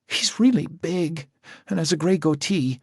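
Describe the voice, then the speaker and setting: A scared teenage runaway girl, put on by a male narrator, her voice pushed high and trembling. She stammers and breaks into sobs, the tone husky and breathy. The sound is dry and close with no room around it. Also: expressive, slow, no echo, heavily acted. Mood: tearful. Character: frightened teenage runaway girl, tearful and jumpy.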